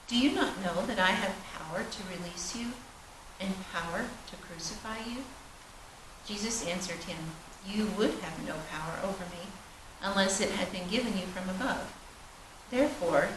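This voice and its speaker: Female scripture reader, solemn scripted delivery, mid-pitched, heard through heavy background noise. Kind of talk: solemn scripted reading of a Bible narrative. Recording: roomy echo, distant mic, loud noise.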